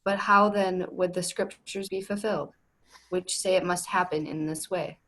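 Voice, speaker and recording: A solemn, resolute holy teacher played by a woman in a dramatic scripture reading. The voice sits a little low and speaks earnestly, in measured phrases. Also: fairly even intonation, fairly bright tone, rough recording. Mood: firm, solemn. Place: remote recording on a video call. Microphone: video call.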